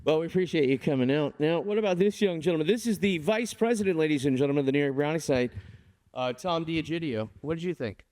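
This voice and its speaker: A man's voice: on the high side, quick pace, darkish tone. A man hosting a live event, introducing poets and hyping the audience. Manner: upbeat, hypes the crowd. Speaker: event host.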